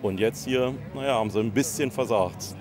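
A man's voice: mid-range voice, brisk, music in the background. A middle-aged man, a football fan, answering casually into a handheld microphone outside a stadium, with noise around him. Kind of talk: casual street interview answers. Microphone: handheld interview microphone. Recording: clear outdoor crowd-side background noise.

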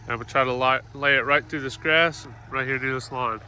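A man's voice: mid-range voice, steady tone, a little fast, pushed and bright. A man in casual, practical talk, explaining each step of a tree removal as he films on a farm. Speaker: tree service worker filming his job. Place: outdoors on a farm. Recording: outdoor noise, chainsaw and tractor.

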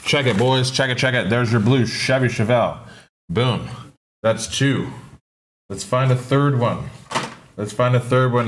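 A man's voice: mid-range voice, slight background noise. A man hosting a live-stream auction, repeating himself and hyping each item in an upbeat patter.

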